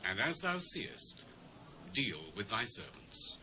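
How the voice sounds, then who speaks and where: Humble young british captive noble, very bright voice, big rise and fall, bible drama, bit of hiss. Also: male voice, mid-range voice, big pitch swings, full character voice. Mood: humble pleading. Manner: earnest, measured, humble. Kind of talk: dramatised scripture reading. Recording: noisy old cassette transfer.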